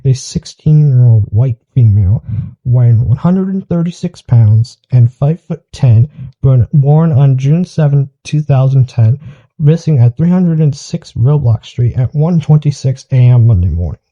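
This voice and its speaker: A man reading a formal scripted emergency alert in a flat, official manner. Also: mid-range voice, unhurried. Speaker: alert announcer. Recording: dull lo-fi alert broadcast.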